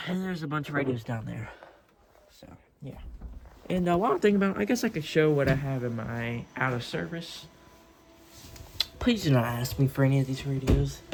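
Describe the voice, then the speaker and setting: Rambling American man, noisy home recording. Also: medium pitch. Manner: rambling, hesitant, self-correcting. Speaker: radio collector showing off collection. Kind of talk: casual, unscripted show-and-tell. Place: indoors at home.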